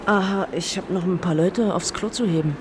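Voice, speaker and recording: A woman's voice: fairly low pitch, moderate pace, noisy background, natural voice. A woman playing a young hospital care worker in a radio drama, dry, brusque and sarcastic. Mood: deadpan. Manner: deadpan, sardonic, brusque. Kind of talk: naturalistic radio drama dialogue.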